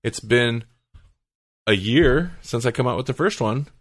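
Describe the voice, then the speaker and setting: A man's voice: mid-range voice, animated, unhurried, no room sound, studio quality. A man hosting a podcast in casual chat, with a joking, rambling manner. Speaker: podcast host.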